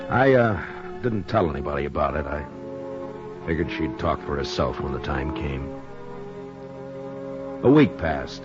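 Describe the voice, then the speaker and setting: Very deep-voiced frontier marshal. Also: male voice. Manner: plainspoken, laconic. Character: steady, protective Old West frontier marshal. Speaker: lead actor and narrator. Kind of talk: 1950s radio western acting. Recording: dull vintage radio recording with music.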